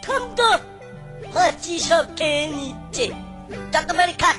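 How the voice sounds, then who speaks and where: A woman's voice: very high voice, animated, slow, exaggerated voice. A woman voicing a grumpy, stingy sweet-shop owner and father in a cartoon, with a scolding, dismissive manner. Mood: irritated. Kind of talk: cartoon voice acting. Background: background music.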